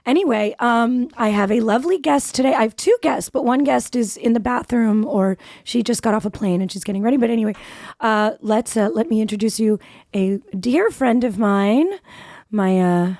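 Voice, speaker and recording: A woman's voice: a little high, normal speed, a little mellow, no room sound. Woman host, scratchy from a cold, rambly casual chat, dry close sound. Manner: chatty, rambling, with many fillers and restarts. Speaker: podcast host.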